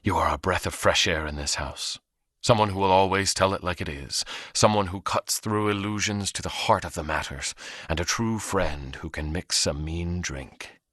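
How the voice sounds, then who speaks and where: An actor playing a proud, theatrical vampire in a video game, speaking in a pompous, formal and dramatic way. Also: male voice, sits low, moderate pace, fairly bright tone, dry recording, studio quality. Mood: solemn, fond.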